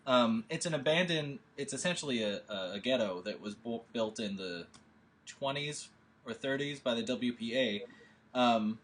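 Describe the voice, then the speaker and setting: A man's voice: mid-range voice, animated, moderate pace, fairly bright tone. A man leading a table read, chatting casually from home over a video call, rambling with lots of fillers and restarts. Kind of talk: casual chat.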